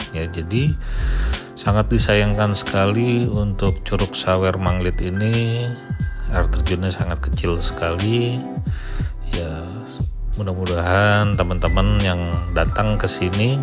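A man with a fairly low voice narrates his outdoor trip in a calm, unhurried way, often pausing with filler sounds. The recording has clear music playing behind his voice, and it sounds like a handheld camera mic out in the open. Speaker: camping vlogger. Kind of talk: travel vlog narration and on-site interview. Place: outdoors at a mountain waterfall and campground.

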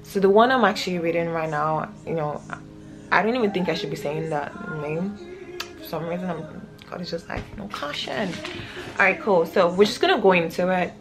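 A woman's voice: lowish voice, lively intonation, normal speed, over music. A young woman in her twenties from Nigeria, with a Nigerian accent, talking casually and earnestly into a close camera in a small bedroom, rambling with fillers as she reads a devotional. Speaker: vlogger. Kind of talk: casual devotional vlog, praying and reading aloud. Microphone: camera close up at face level.

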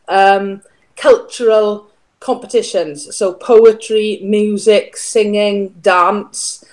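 A middle-aged woman with a Welsh accent, a music teacher chatting as a guest on a video call from home. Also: mid-range voice. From Wales. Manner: chatty, friendly, explains at length. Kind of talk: casual interview chat. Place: home room, indoors. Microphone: video call webcam.

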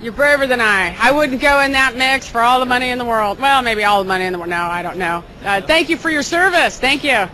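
A woman's voice: a little high, lively intonation, a little fast, few pauses, pushed and bright, low-quality recording. A middle-aged woman from California with an American accent, chattily hosting a live interview on a handheld microphone. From San Jose, California. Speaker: webcast host and interviewer. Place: large concrete backstage area indoors.

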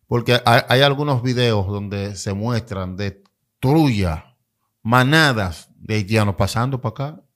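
Dominican podcast host, man, fairly low voice, prompting questions into a studio mic. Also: a little slow, fairly bright tone. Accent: Dominican Spanish. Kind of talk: podcast conversation. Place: small studio set. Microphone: studio mic on boom arm.

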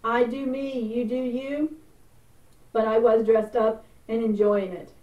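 A woman's voice: a little high, little pitch movement, slow pace. A middle-aged woman hosting a travel vlog, chatting casually and explaining step by step. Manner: chatty, gestures with hands while explaining. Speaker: travel vlogger. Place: small indoor room before a purple curtain. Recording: roomy, distant, phone-like sound.

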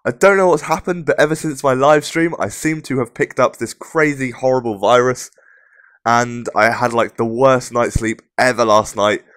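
A young man hosting casual game commentary, his voice croaky and rough from a sore throat, chatty and upbeat. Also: mid-range voice, moderate pace, fairly bright tone, no room sound. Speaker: gaming channel host.

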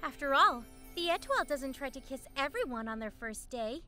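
A high, lively voice plays a breezy schoolgirl who knows her way around, as a woman voices her. Clear music plays behind her. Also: normal speed, full character voice. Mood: friendly. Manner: breezy, chatty. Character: friendly chatty veteran schoolgirl. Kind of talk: fan dub acting.

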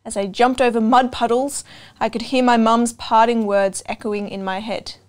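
A young adult woman reading a children's storybook aloud, expressive and deliberate, pausing to put questions to listeners. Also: a little high. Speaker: storytime reader. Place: indoor room with couch and bookshelves.

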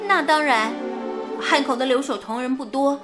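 A woman's voice: high-pitched, moderate pace, over music, close to an everyday voice. An actress playing an earnest young woman working at a wartime radio station, in radio drama dialogue. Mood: earnest, concerned.

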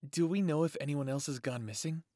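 A man's voice: fairly high pitch, brisk, dark tone, dry recording, clean studio sound, close to an everyday voice. An actor playing a considerate, easygoing male werewolf in an audio drama, with a conversational delivery. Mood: thoughtful.

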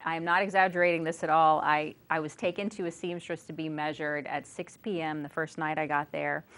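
A woman in her forties with a low voice, telling a story with real enthusiasm. She is a guest teacher on a small studio interview show. A lapel mic picks her up clearly. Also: flat delivery, brisk, fairly bright tone.

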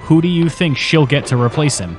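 A man's voice: fairly high pitch, brisk, music in the background, natural voice. A man playing an uneasy, dutiful asylum staffer in an audio drama. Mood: concerned.

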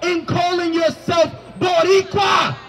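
A young man preaching on a city sidewalk into a handheld microphone, commanding and questioning his listeners, often rising to shouts. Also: very high voice, little pitch movement, slow, a crowd in the background. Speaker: street preacher. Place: city sidewalk by a food cart.

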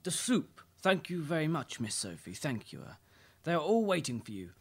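A woman's voice: low voice, very animated, normal speed, breathy edge, exaggerated voice. A woman acting a deferential, formal male butler in an amateur radio play, repeating himself obligingly. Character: James, deferential elderly male English butler.

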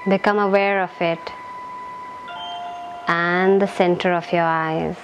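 A woman leading a guided meditation in a calm, gentle, soothing manner, giving instructions in short phrases with long pauses. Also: lowish voice, highs cut off, background noise.

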